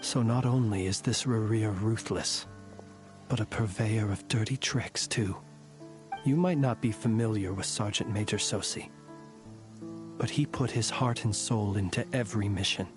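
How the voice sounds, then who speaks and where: A man playing a courtly, composed warrior in a video game, speaking formally in archaic, eloquent and measured phrases with a wry stoicism. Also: on the low side, close to an everyday voice. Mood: calm, thoughtful. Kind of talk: scripted video game character dialogue. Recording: game audio with background music.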